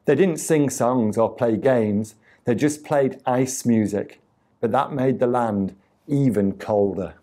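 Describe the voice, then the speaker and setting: A man reads a children's story aloud in a relaxed, mellow voice. He takes his time over each phrase and delivers the tale expressively, as for young listeners at storytime. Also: mid-range voice. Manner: deliberate, expressive reading with acted sound effects. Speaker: storyteller reading a picture book aloud to children.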